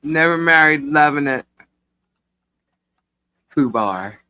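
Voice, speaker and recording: A woman hosting a casual live chat in a teasing, chatty manner. Her voice is bright and forceful and swings widely in pitch. She drawls her words out slowly. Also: expressive, dry recording, low-quality recording. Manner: teasing, chatty, quick to laugh. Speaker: livestream co-host.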